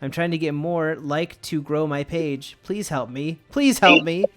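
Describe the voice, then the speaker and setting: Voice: mid-range voice, measured pace, fairly bright tone, soft background music. A speaker bantering casually and comedically, sarcastic and swearing as they riff on fan posts. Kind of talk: casual comedic banter, mock pleading and riffing.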